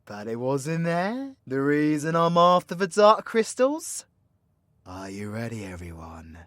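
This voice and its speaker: A man's voice: a little high, big pitch swings, unhurried, a bit bright, dry recording, clean studio sound. Man playing a swaggering British supervillain, swooping delivery. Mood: dramatic build-up. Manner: slangy, sweary, theatrical, teasing banter. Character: cocky, foul-mouthed supervillain magician, vengeful yet playful. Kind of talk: ASMR-style audio roleplay, addressing an unheard companion.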